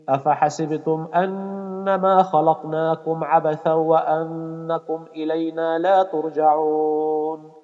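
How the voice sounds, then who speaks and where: A man reciting scripture in a slow melodic chant and repeating healing invocations. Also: a little high. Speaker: Quran reciter performing ruqya. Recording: dull audio with long reverb.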